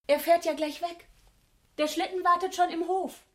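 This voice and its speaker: An actress playing a startled, childlike young barmaid in a literary radio drama, with a quick, artless manner. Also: female voice, very high voice, fairly even intonation. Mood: informing.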